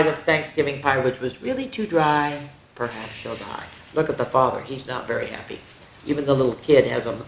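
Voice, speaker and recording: A woman hosting a children's story time, reading a picture book aloud expressively, with exclamations and asides to the young listeners. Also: low-pitched. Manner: expressive, exclaiming, pausing to comment and ask the listeners. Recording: echoey room.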